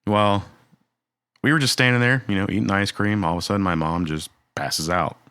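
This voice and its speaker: A young man co-hosting a podcast, telling stories in a relaxed way with lots of fillers. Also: fairly low pitch, brisk, smooth, no echo. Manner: relaxed storytelling, many fillers. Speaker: podcast co-host. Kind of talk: casual chat.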